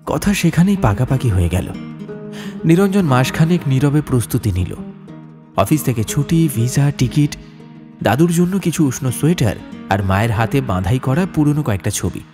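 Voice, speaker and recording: A man narrating a scripted horror story in a literary, expressive, dramatic way. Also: mid-range voice, moderate pace. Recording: music under the voice. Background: background music.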